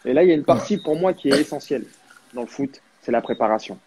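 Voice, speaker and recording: A man's voice: medium pitch, expressive, fast talker, darkish tone, husky. A man hosting a football live stream, talking casually with his guest and repeating words for emphasis.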